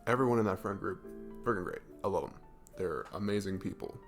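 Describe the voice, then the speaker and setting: A lowish male voice , performed by an actor plays an affectionate young best friend in a romantic roleplay audio. He rambles in a casual, goofy, gushing way, as if chatting one to one. Faint music plays behind him. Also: brisk, vocal fry. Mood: fond, earnest. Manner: rambling, gushing, informal, chuckling between phrases. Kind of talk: romantic ASMR roleplay audio, casual improvised-sounding chat.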